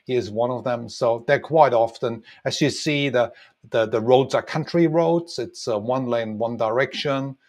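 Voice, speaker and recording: A man's voice: mid-range voice, measured pace. Middle-aged male tour guide explaining routes. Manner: relaxed, many ums and uhs, explaining. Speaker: tour guide and travel designer. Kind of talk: casual map walkthrough narration.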